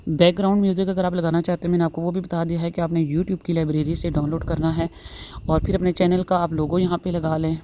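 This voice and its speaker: Low, even-voiced woman teaching. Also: fast talker. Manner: instructional, repetitive explaining. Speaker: tutorial host. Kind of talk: step-by-step screen tutorial narration. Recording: faint background noise.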